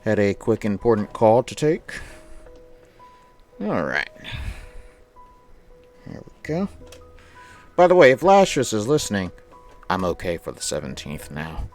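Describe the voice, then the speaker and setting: Male streamer, slightly low voice with lively swings, reading story text and talking to chat over music. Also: a little slow, pauses often. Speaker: streamer hosting a charity game showcase. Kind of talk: live stream chat mixed with reading visual novel narration aloud.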